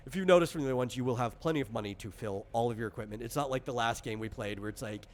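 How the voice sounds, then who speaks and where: A man's voice: mid-range voice, very fast. A man in the role of game master, casually explaining tabletop game rules in a rambling, conversational way with lots of asides.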